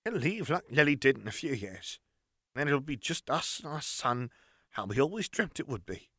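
A man voicing a tense, weary middle-aged aunt in an audiobook, speaking in a hushed, terse way. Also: fairly high pitch, sing-song, fairly quick, no echo, clean studio sound, pitched above the performer's own voice.

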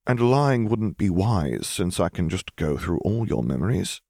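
A man's voice: low-pitched, sing-song, a little slow, creaky, a little mellow, dry recording, heavily acted. A cool young wizard from a fantasy audiobook , acted by a male narrator. He speaks with controlled, understated menace, in a dry, close recording.

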